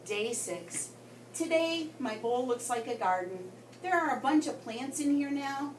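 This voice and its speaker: Woman reading aloud, roomy distant recording. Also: high voice. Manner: expressive, with dramatic pauses and emphasis. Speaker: guest story reader for children. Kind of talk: read-aloud storytelling to children. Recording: roomy, distant mic with background noise.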